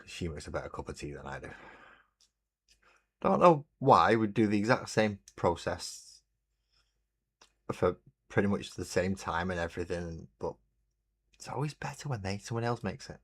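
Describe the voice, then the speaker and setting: A man hosting a relaxed hobby livestream, chatting in a rambling way and greeting viewers while he paints. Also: deep voice, moderate pace, long pauses, slightly rough. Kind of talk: casual chat while painting. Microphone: desk mic on a webcam stream.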